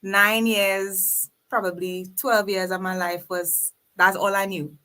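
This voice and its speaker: A Trinidadian woman guesting on a podcast, with a bright, forceful tone. She talks in an earnest, reflective and faith-filled way. Her pitch sits in the middle for a woman. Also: level tone, takes it slowly. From Trinidad and Tobago. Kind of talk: casual podcast conversation.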